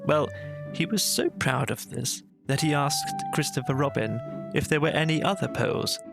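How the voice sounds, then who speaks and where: Creaky-edged man narrating a bedtime story. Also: on the high side, animated, moderate pace, over music.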